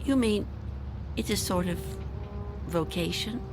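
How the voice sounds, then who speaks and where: An actress playing an anxious, secretive, reclusive spinster in a radio drama, halting and fretful. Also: female voice, medium pitch, faint music behind, close to an everyday voice. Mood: agitated, probing.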